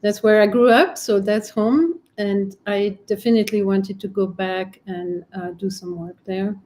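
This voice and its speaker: A middle-aged woman physician who grew up in Congo, answering interview questions in a measured, gracious way. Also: medium pitch, a little mellow, slight background noise, poor audio. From Democratic Republic of Congo. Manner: measured and gracious, with many fillers. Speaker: physician guest.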